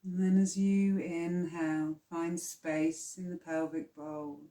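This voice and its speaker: A woman teaching yoga nidra, guiding relaxation in a calm, soothing, hushed way with long pauses. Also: fairly low pitch, reverberant. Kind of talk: slow guided relaxation meditation.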